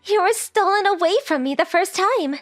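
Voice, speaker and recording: Woman voicing a very high, coy anime girl. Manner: polite, coy, teasing. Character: sweet, coy, forward rich teenage girl with a crush. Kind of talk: anime-style comic dub dialogue.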